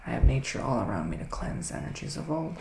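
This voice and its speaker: A man doing a soft-spoken, partly whispered ASMR roleplay as a psychic witch of the woods, with mystical, theatrical phrasing. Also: mid-range voice, big pitch swings, fast, gravelly. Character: psychic male witch living in the woods, giving readings. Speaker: ASMR performer playing a psychic reader. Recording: roomy echo with faint background noise.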